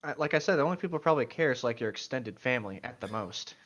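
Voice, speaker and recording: Dude chatting casually, wry jokes n teasing, bit of swearing, kinda dull lofi mic. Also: male voice, medium pitch, expressive, very fast, fairly bright tone. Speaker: co-host reading and mocking fan fiction. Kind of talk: casual joking chat.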